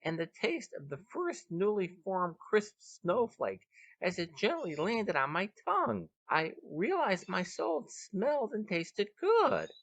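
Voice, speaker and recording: A man voicing a dazed, haunted young woman back from death, speaking in a halting, ominous trance for a story podcast. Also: high voice, big pitch swings, creaky, a bit bright, dry recording, voice pushed up, exaggerated voice, poor audio. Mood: dreamy wonder.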